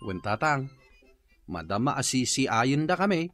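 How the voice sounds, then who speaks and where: An aggrieved younger husband from a radio comedy drama. He is eager and complaining, with a very animated delivery and a dry studio sound. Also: mid-range voice, moderate pace, no room sound, faint music behind. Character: eager, aggrieved younger married man planning purchases.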